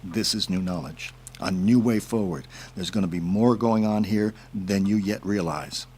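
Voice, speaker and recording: A playful, irreverent covert superior in an audio drama. His voice sits slightly low and has a husky rasp. He talks casually, teasing and enthusing as he hands out orders. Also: normal speed, darkish tone. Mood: insistent, confiding.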